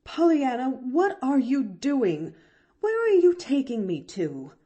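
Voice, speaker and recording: A woman's voice: high-pitched, very animated, slow pace, warm tone, voice pushed up, exaggerated voice. A woman voicing a stern, easily flustered middle-aged aunt in an audiobook, scolding and protesting indignantly. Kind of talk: audiobook character voice.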